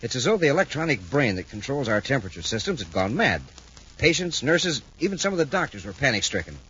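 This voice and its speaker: A distressed hospital superintendent for 1950s radio. The husky voice hurries and swings up and down, halting and apologetic. The old recording is muffled. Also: male voice, mid-range voice, big pitch swings, a bit bright. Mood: flustered. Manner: flustered, halting, earnest. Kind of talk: 1950s radio adventure acting.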